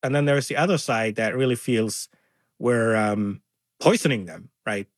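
A man's voice: mid-range voice, moderate pace, dry recording, studio quality. A man from Somalia, an interview guest talking earnestly and acting out others' words with animation. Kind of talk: conversational interview.

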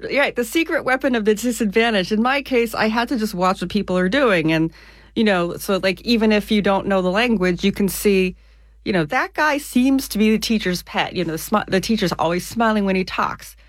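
A woman from the United States, an author being interviewed on the radio, explaining ideas clearly with everyday examples. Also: medium pitch, a little fast, dry recording.

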